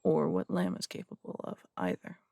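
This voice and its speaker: A blunt, suspicious city detective in an audio drama, acted by a man, his voice deeply gravelly and sitting a little high. He needles with curt questions, and the sound is close and dry. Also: big pitch swings, moderate pace, a little mellow, no echo, exaggerated voice. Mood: accusing. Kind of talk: audio roleplay drama acting.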